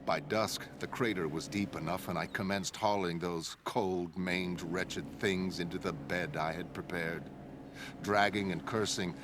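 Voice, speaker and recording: A man playing an anguished, grave shipwrecked sailor who narrates a pirate horror tale in solemn, measured, literary phrases. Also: low voice, noisy background. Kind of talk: brooding first-person audiobook narration. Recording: music under the voice.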